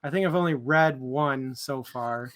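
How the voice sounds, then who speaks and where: A man's voice: medium pitch, measured pace, fairly bright tone. A man co-hosting a book club livestream in casual, informal chat with a bit of joking.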